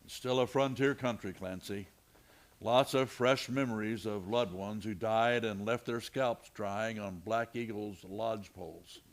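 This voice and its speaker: A man playing a steady, plain-talking, protective frontier lawman in an old-time radio western. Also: lowish voice, unhurried. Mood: somber, reflective.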